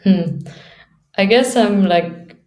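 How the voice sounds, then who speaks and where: A woman's voice: mid-range voice, slow, darkish tone, reverberant. A young woman from Austria with an Austrian accent, an athlete talking casually in an interview and laughing often between phrases. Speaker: guest, downhill skateboarder.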